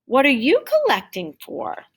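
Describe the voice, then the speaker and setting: A woman voicing a kindly, down-on-his-luck man in a storybook, with a folksy, low-key way of talking. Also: huge pitch swings, a little slow, exaggerated voice. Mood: resigned.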